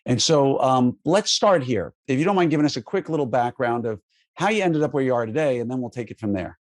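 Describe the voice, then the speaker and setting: A man's voice: medium pitch, expressive, brisk, dry recording, clean studio sound. A man in his fifties with a faded New York accent, hosting a podcast in a joking, friendly way. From Queens and Long Island, New York. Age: 50s. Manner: friendly, joking, laughs often, many fillers. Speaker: podcast host. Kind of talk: casual podcast interview.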